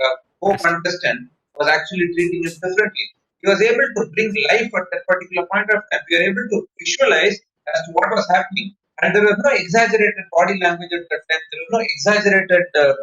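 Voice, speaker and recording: A man's voice: high voice, pushed and bright, recorded from a distance. A man with an Indian accent giving an online talk as a public-speaking trainer, explaining emphatically with anecdotes and repeated phrases. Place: online session over video call. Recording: muffled, echoey online call recording.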